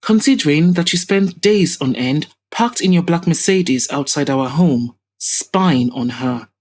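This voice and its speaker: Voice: medium pitch, lively intonation, unhurried, a little mellow. Woman playing determined, probing young woman. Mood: accusing.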